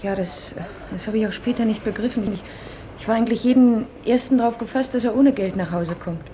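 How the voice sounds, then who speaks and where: A woman's voice: on the high side, a little fast, close to an everyday voice. An actress playing a murdered man's guarded daughter in a 1950s German radio play, answering hesitantly. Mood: guarded, uneasy. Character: murdered man's guarded, working daughter in awkward awe of family. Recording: old radio recording with clear background noise.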